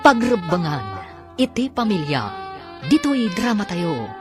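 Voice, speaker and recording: Low-voiced Ilocano woman radio announcer. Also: very animated, measured pace. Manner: theatrical announcing. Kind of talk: scripted radio narration. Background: music.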